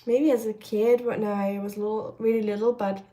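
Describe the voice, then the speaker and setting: A woman's voice: mid-range voice, flat delivery, normal speed, mellow. A young woman from Germany, chatting casually and rambling with many fillers while cooking on a live stream, her voice a little nasal. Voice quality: slightly nasal. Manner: chatty, rambling, many fillers, reads chat comments aloud.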